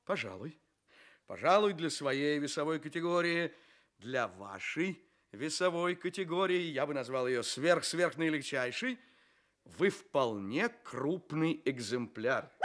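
A man's voice: a little high, lively intonation, slow, fairly bright tone. An actor playing a courteous, mysterious storyteller in a children's radio play, theatrically addressing his listeners. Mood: courteous, playful. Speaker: narrator, storyteller host. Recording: studio radio play with music.

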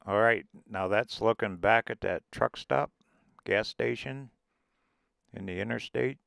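A man with a low, gravelly voice talks in an easy, rambling way, recorded from inside a vehicle as he drives. His words come through smooth and clear, and he points out each sight as it passes. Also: measured pace, fairly bright tone, dry recording, studio quality. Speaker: RV travel vlogger. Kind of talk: casual narrated drive and drone tour. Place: inside a vehicle driving desert roads.

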